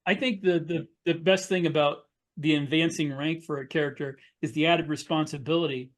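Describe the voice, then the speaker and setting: A man's voice: on the high side, fairly even intonation, a little fast. A man on a podcast panel, chatting casually and explaining things with firm opinions. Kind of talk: casual discussion.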